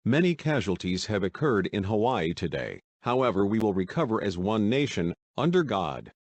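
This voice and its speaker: Man acting a solemn President, dry low voice. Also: big pitch swings, moderate pace, dry recording. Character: solemn male US President addressing the nation in crisis. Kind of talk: formal scripted emergency address.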